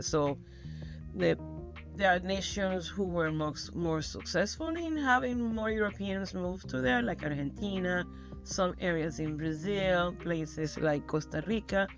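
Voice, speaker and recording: A woman from Venezuela, answering interview questions emphatically, often restarting her phrases. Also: deep voice. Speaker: guest scholar.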